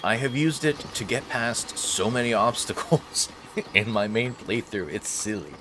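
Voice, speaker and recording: A man's voice: medium pitch, expressive, measured pace, background noise. A man hosting a game playthrough with casual, joking commentary and playful mock-heroic asides. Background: video game sounds.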